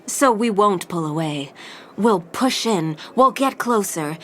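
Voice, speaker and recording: A seductive, scheming femme fatale leads her crew in an audio drama. She talks smoothly and persuasively at a medium pitch, sure of herself, over faint background noise. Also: animated, takes it slowly. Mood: confident, persuasive. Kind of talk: scripted audio drama acting.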